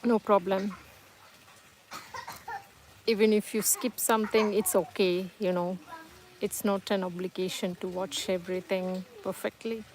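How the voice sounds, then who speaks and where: Slow, soft-spoken woman with a Tamil first language. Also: medium pitch, little pitch movement, low-quality recording. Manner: hesitant, many fillers and restarts, gentle and reassuring. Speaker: livestream host. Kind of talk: casual live-chat monologue, reading comments.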